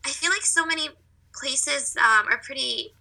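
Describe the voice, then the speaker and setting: Chatty American woman, very bright, creaky voice. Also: high voice, moderate pace, low-quality recording. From Virginia, United States. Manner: chatty, laughs easily. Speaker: interview guest, artist living abroad. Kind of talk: casual interview chat.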